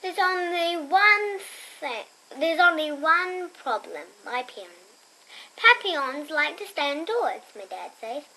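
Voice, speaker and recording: A young girl reading a picture book aloud expressively, with chatty, quieter asides to her listeners. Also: high-pitched, animated, takes it slowly, poor audio. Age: child. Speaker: storybook reader and host.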